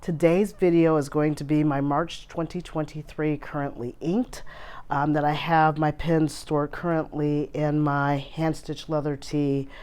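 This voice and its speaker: Hoarse low-voiced woman showing pens. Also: flat delivery, unhurried. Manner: chatty, warm asides with filler ums. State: hoarse from illness. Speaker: fountain pen channel host. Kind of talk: casual show-and-tell vlog.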